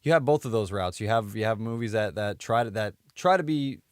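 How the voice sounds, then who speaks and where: A man's voice: lowish voice, lively intonation, a little fast, clean tone, no echo, clean studio sound. A man co-hosting a podcast, chatting casually and giving opinions in a rambling, conversational way. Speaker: podcast co-host.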